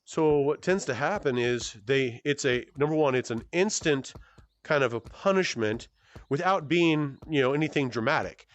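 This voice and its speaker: A man's voice: medium pitch, expressive, normal speed. A man in his forties answering fan questions in a casual, easygoing way as he plays a VR game, rambling a bit and often restarting his sentences. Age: 40s. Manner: rambling and self-correcting, with many fillers and restarts; easygoing and good-humored. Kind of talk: casual Q&A chat while playing a VR game.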